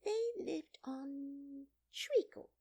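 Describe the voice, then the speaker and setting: A woman voicing a drowsy, sulky male dormouse in a storybook, with a hoarse, feeble whisper and drawn-out words. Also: sits high, lively intonation, warm tone, pitched above the performer's own voice, full character voice. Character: sleepy, sulky male dormouse telling a slow tale.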